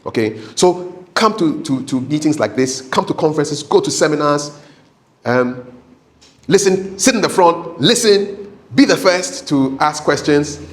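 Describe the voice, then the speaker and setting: A man's voice: fairly high pitch, animated, measured pace. A man giving a live training talk as a public speaking coach, conversational and instructive, repeating key points for emphasis and often checking in with short tag questions. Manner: emphatic, repetitive, checks in with "okay?".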